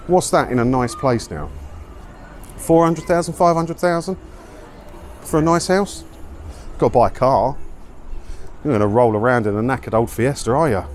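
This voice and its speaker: A man's voice: medium pitch, a little mellow. English guy, walk-and-talk vlog rant, very up and down voice, opinionated, bit of street noise. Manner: chatty, opinionated, with rhetorical questions. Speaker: video blogger. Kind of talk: casual walk-and-talk commentary on news stories. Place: outdoors, walking around a seaside town. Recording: outdoor street noise, traffic.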